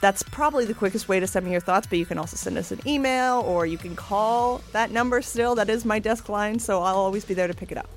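A woman's voice: medium pitch, fast talker, few pauses, faint music behind. A woman with a Canadian accent, hosting a podcast and chatting casually with her co-host. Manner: chatty, laughs easily. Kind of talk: casual chat.

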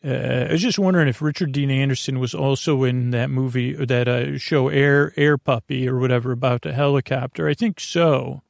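A man's voice: medium pitch, fairly even intonation, normal speed, hardly any pauses, dry recording, clean studio sound. A man hosting a bedtime podcast in a meandering, hesitant, self-deprecating monologue that keeps drifting off on digressions. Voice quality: creaky, gravelly. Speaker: podcast host. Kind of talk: rambling bedtime monologue to listeners.

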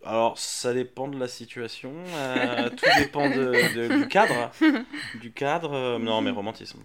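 A man, a comedian guest on a podcast, talking in a playful, animated way, laughing often and acting out little scenes. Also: mid-range voice, a little slow, a loud crowd. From Geneva, Switzerland. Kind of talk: casual podcast chat.

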